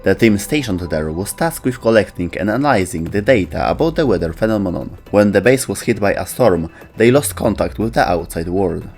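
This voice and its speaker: A man's voice: low voice, expressive, fairly quick, few pauses, a little mellow. A man reading scripted narration for a video about video game lore.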